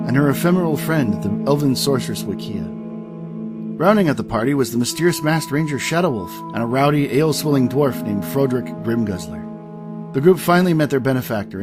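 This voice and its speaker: A man acts as a dramatic fantasy audio drama narrator. He delivers a scripted recap in a lively voice, with music playing clearly behind him. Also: medium pitch, close to an everyday voice.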